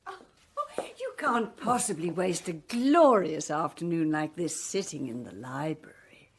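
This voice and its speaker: A woman's voice: deep voice, sing-song, measured pace, background noise, heavily acted. An actress playing a self-possessed, married English country-house lady in a radio drama, with a well-spoken English accent. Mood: candid.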